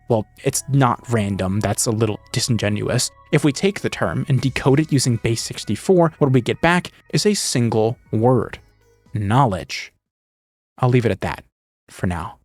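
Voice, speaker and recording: A man's voice: mid-range voice, no echo. Male video essayist over music. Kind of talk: scripted analytical narration with casual asides.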